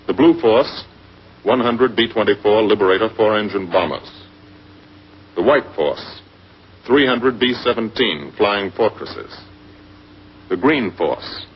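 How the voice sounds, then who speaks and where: An American man narrating a scripted 1940s wartime propaganda film in measured, dramatic short phrases. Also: fairly low pitch, breathy edge. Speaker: documentary narrator. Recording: muffled, noisy 1940s film soundtrack.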